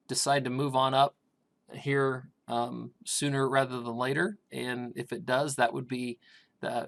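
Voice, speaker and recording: A middle-aged man talks through grain price charts in a casual, halting way, filling gaps with ums and starting sentences over. His voice is raspy, and his pitch sits at an everyday middle level for a man. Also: fairly bright tone. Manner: hesitant, many ums and restarts. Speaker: grain market consultant.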